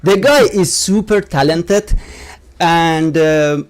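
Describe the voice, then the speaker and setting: Slow, halting higher-voiced male host. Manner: halting, many fillers, non-native phrasing. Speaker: podcast host. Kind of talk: casual podcast chat.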